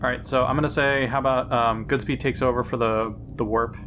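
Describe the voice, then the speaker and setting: A man playing a tabletop game, thinking aloud casually in halting phrases. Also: medium pitch, fairly even intonation, music in the background.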